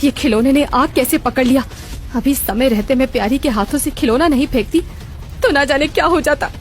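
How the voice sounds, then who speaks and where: A woman's voice: high voice, a little fast, pitched above the performer's own voice, close to an everyday voice. A woman voicing an angry, alarmed village mother in a cartoon story, agitated and emphatic. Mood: outraged. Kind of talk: Hindi cartoon moral-story voice acting. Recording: background music throughout.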